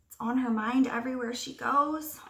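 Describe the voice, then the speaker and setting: A woman teacher reading a picture book aloud to young children, expressively, pausing to ask them simple questions. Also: high voice, fairly bright tone. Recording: roomy echo, distant microphone.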